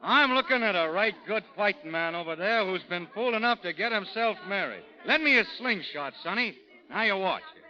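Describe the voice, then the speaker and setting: A dryly humorous frontier scout in an old radio western. His voice sits fairly high for a man and moves around freely, with a folksy, teasing manner. The sound is dull vintage broadcast. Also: expressive, moderate pace, some chatter behind. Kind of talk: 1930s radio drama acting.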